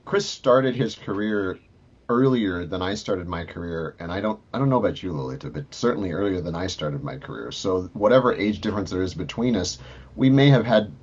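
A man, a composer guest, explaining his work in a relaxed interview manner. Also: sits low, a little fast, husky, dull audio. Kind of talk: casual interview talk.

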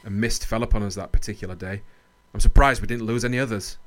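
A man playing a loyal, principled army captain in a radio play, earnest, measured and firm. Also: lowish voice, fairly even intonation, fairly bright tone, lightly acted. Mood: respectful, puzzled. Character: loyal, principled British army captain defending young soldiers. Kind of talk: radio drama acting and narration.

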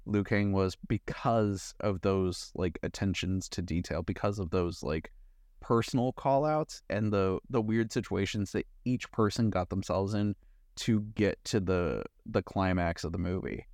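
Male podcast co-host, slightly low voice, dry close sound, casual analytical film chat. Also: a little slow, darkish tone, no room sound. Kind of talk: casual movie discussion.

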